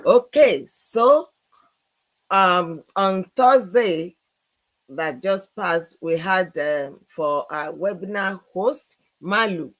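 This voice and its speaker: A woman's voice: lowish voice, lively intonation, extremely slow. A woman, co-hosting a live business chat and introducing panelists in an enthusiastic, promotional way. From Nigeria. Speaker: co-host.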